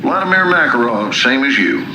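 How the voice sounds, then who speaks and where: A man playing a calculating, proud army general in a video game, deliberate and commanding, with an American accent. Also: mid-range voice, sing-song, background noise. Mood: smug. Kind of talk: cinematic video game acting. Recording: echoey transmission under loud music.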